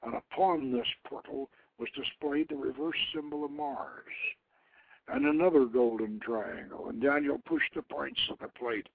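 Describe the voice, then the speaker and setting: A man reading a story aloud as a narrator, in a theatrical, solemn manner that moves between hushed tones and swelling emphasis. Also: medium pitch, animated, a little slow, gravelly, husky. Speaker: host reading his own novelette. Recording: phone-like internet radio sound.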